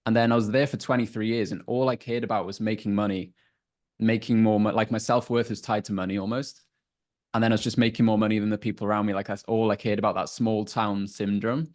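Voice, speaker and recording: A young man from North Wales with a North Welsh accent answers questions in a plain, explanatory way, like someone telling his own story. His voice sits a little low for a man. He talks in a relaxed, conversational register. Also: fast talker. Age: 20s. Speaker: relationship coach, guest. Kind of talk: casual interview answers.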